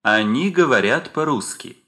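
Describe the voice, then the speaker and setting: A man reading language drill sentences slowly and with very clear articulation. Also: medium pitch, lively intonation, a bit bright. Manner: slow, deliberate, clearly articulated. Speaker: course speaker.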